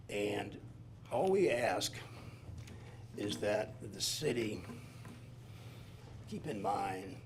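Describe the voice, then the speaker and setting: A hoarse man addresses a city council during public comment, speaking in a measured, halting way and stopping to correct his dates. His voice sits on the low side. A faint hum of the chamber runs underneath. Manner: halting, careful, pauses to correct himself.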